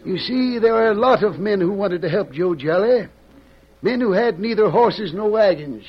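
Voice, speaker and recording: An actor playing a dry, needling newspaperman in an old radio western, with a creaky voice. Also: high-pitched, animated, normal speed. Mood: firm, satisfied. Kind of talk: 1940s radio western acting. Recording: dull old radio recording.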